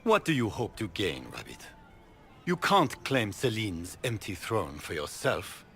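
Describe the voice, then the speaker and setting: Low, swooping, scornful nobleman. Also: very animated, unhurried, fairly bright tone, exaggerated voice. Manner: scornful, commanding. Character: haughty, embattled noble claimant to an imperial throne. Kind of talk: video game voice acting.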